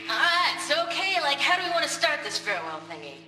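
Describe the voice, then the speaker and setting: Slangy young punk musician, high, animated. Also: female voice, a little fast, roomy sound, far from the mic, music in the background. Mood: awkward, rousing. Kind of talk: casual video game dialogue.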